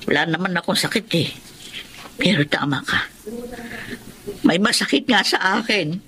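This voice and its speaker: A woman's voice: fairly low pitch, expressive, normal speed, bright, forceful tone. A woman playing a worried, scolding elderly mother in a Filipino radio drama, with a Filipino accent. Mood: hurt, reproachful. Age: older. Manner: fretful, reproachful, pleading.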